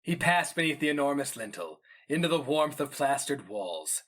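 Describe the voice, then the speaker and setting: A man giving a grave, measured, theatrical reading of epic fantasy as the narrator. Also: medium pitch, sing-song, fairly bright tone.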